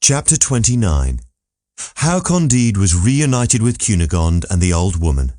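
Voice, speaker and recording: A man's voice: fairly low pitch, sing-song, a little slow, dark tone, no room sound, studio quality. A man narrating a classic literary story from a script as an audiobook reader.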